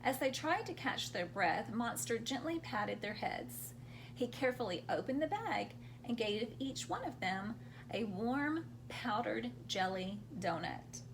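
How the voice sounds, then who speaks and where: A woman's voice: on the high side, very animated, measured pace, creaky, background noise. A woman hosting a children's storytime, reading picture books aloud expressively and explaining things simply for young listeners.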